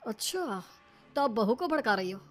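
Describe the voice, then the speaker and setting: A woman's voice: a little high, big pitch swings, moderate pace, soft background music. A woman voicing an abusive, domineering older father-in-law in a moral cartoon, menacing and belittling in manner. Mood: threatening.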